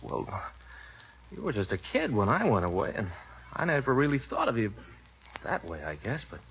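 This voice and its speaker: A young actor playing a shy, stammering sailor just home from the navy in a 1940s radio comedy. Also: male voice, mid-range voice, wildly swooping pitch, creaky. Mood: bashful. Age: young adult. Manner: halting, bashful, stammering. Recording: dull old radio broadcast with hiss.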